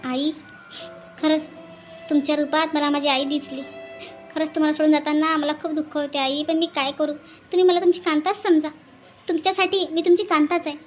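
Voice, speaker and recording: Someone doing a sweet earnest city lady for a cartoon drama, super high voice, polite, sentimental, pleading. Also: little pitch movement, a little fast, faint music behind, lightly acted. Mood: tearful gratitude. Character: earnest, tender orphanage woman from Mumbai, bidding farewell. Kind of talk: melodramatic cartoon dialogue.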